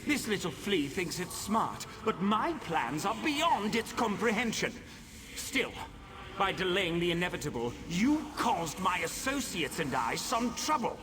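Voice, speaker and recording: Smug demon prince villain for a game, slow mock-polite drawl, super animated, gleefully menacing. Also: male voice, high voice, background noise. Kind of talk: video game villain acting.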